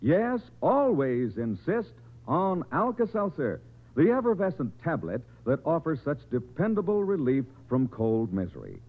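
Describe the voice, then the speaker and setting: A man delivers an old radio commercial in a polished, emphatic announcer style. His pitch swings very widely from a slightly high base. The sound is thin and band-limited, like a 1950s broadcast. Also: big pitch swings, unhurried, phone-quality sound.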